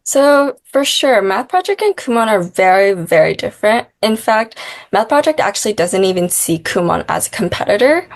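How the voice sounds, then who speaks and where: A woman's voice: medium pitch, big pitch swings, normal speed. Young woman tutor, chatty filler-heavy talk. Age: young adult. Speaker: math tutor. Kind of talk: casual interview answers.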